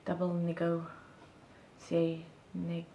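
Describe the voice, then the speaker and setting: Slow, low-voiced Swedish woman musing. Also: completely flat delivery, echoey room, muffled recording, slight background noise. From Sweden. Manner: thoughtful, searching for words, gestures while talking. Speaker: vlogger. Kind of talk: reflective casual monologue to camera. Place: small room indoors, seated on a bed.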